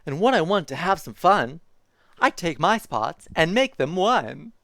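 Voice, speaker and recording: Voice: medium pitch, sing-song, unhurried, a bit bright, dry recording, voice pushed up. Streamer doing a dopey spotted storybook creature. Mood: playful. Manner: singsong rhyming read-aloud.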